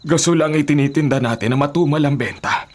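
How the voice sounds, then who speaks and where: An actor playing a weary, exasperated middle-aged husband who sells gas, in a Filipino radio drama. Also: male voice, fairly high pitch, brisk, natural voice. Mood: resigned. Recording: music bed behind.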